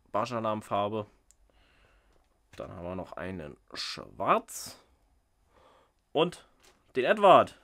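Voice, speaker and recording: A man's voice: on the low side, a bit bright. A man hosting a casual unboxing vlog indoors, chatty and jokey, thinking aloud with fillers as he goes. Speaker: fishing channel host. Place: indoors at a table. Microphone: off-camera microphone, top-down camera.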